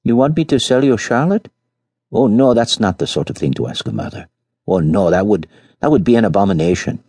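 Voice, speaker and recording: A man's voice: lowish voice, sing-song, a little fast, warm tone, husky, no room sound, studio quality, voice pushed up, exaggerated voice. A man voicing an angry peasant mother who fiercely scolds visitors, in a story reading. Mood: indignant.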